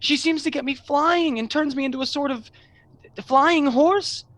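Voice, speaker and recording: A spooked younger brother in a radio play, hesitant, stumbles along, dry sound. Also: male voice, very high voice, fairly bright tone, dry recording. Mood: frightened. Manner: hesitant, halting. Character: troubled, haunted younger brother, young farmhand. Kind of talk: radio drama acting.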